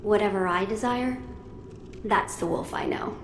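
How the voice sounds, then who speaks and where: A woman's voice: mid-range voice, measured pace, natural voice. Game role: composed young boy lord, female actor, earnest, formal, roomy sound over ambient noise. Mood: thoughtful, fond. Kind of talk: video game cutscene acting.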